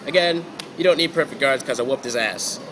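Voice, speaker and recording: A man sick and close to losing his voice talks through his card game deck in a loose, offhand way. He drops the odd swear word and trails off with fillers. Clear background noise and passing traffic fill the store around him. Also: mid-range voice, brisk. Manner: laid-back, swears casually, trails off with fillers. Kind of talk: casual deck walkthrough. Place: hobby store.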